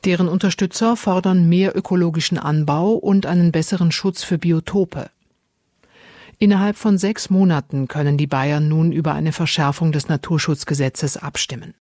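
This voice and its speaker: A woman's voice: low-pitched, steady tone, moderate pace, warm tone, no echo, clean studio sound. A woman reading scripted news bulletins on the radio as a newsreader.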